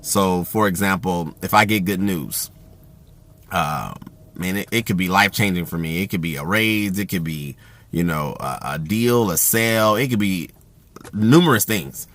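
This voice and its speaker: A man talking casually about communication in an even, self-described monotone, with a loud voice that carries and plenty of fillers and restarts. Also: sits low, normal speed. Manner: rambling with frequent fillers and restarts. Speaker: host.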